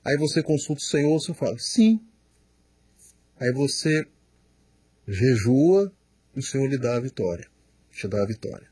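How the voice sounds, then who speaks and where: A man, a pastor, answering questions in a studio, slow and emphatic, often repeating words as he explains. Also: medium pitch, big pitch swings, mellow, slightly rough, no echo. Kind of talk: studio Q&A teaching.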